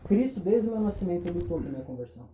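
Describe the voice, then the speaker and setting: A man preaching a sermon, impassioned, firing rhetorical questions and dropping between loud and hushed. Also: sits high, big pitch swings, very fast, reverberant, a little hiss or hum. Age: 20s.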